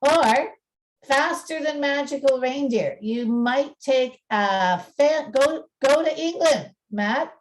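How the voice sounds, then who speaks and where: Recorded a little away from the microphone, a woman gives an informal presentation. Her voice is fairly high and she speaks slowly. She comes across as chatty and self-deprecating. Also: distant mic, rough recording. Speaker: museum presenter and radio play narrator. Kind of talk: informal talk with slides and dramatic reading.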